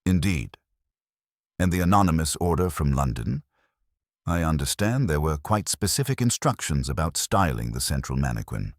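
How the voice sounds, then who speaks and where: A man voicing a calm police inspector in a detective story, probing with gentle, dry authority. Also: a bass voice, moderate pace, no room sound, full character voice.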